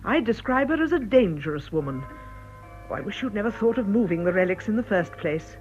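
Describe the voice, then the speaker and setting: A woman's voice: mid-range voice, expressive, a little fast, darkish tone, lightly acted. An actress playing a concerned, forthright clergyman's wife in a British radio drama, with an English accent and a frank, fussing manner. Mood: forthright, probing. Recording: muffled old radio broadcast, music behind.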